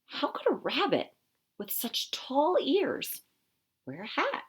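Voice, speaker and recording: A woman's voice: high voice, huge pitch swings, takes it slowly, voice pushed up, exaggerated voice. A woman voicing a friendly, curious little male squirrel in a storybook, musing and wondering to himself. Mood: curious, puzzled.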